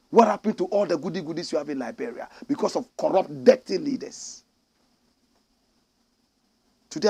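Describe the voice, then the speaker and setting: A high-voiced man from Ghana delivers fiery political commentary. He preaches and scolds, jumping from shouted outbursts to low, muttered remarks. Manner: preachy, emphatic, swings from shouting to low asides.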